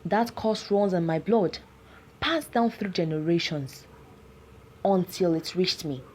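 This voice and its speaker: Lady doing a cursed village guy from a folktale, grave n anguished, slow, pitch swinging, bit of noise behind. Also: lively intonation, darkish tone. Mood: sorrowful, bitter. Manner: grave, dramatic, pleading. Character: anguished cursed village man, sorrowful, vengeful, resigned.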